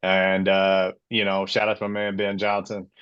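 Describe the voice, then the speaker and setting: A man in his late forties hosts a casual sports podcast and tells personal stories with real passion. His voice sits low. The audio is a bit muffled and dry. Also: monotone, normal speed, fairly bright tone, clear, resonant tone, no echo. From Florida, USA. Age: 40s. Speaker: podcast host.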